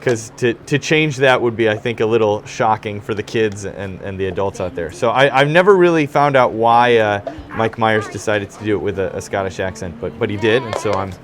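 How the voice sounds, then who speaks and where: A man's voice: lowish voice, a little fast, few pauses, slight background noise. A young man from Chicago with a Midwestern accent, a stage actor chatting backstage with lots of fillers. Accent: Midwestern American. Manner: chatty, laid-back, many fillers. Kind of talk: casual backstage interview. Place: theater dressing room.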